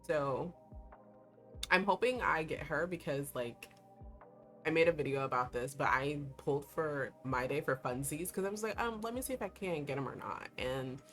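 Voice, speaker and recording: A young woman streaming a game, her voice hoarse and giving out, chatting in a rambling way with lots of fillers. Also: low-pitched, normal speed. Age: young adult. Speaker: VTuber streamer. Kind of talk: casual livestream chat while playing a gacha game. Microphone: desk streaming mic. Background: game music.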